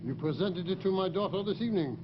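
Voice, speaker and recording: Grave dad, high voice, formal and accusing, crackly old radio play. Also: male voice, lively intonation, quick pace. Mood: stern, accusing. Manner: formal, insistent, dramatic. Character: grave, accusing French father of a grown daughter. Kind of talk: old-time radio drama acting. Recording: muffled, very noisy old radio recording.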